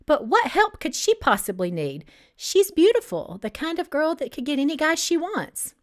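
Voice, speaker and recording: A curious female angel in training , played by a woman reading a novel. She is blunt and skeptical, with a high voice that rises and dips a lot. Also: big pitch swings, moderate pace. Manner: questioning, blurting.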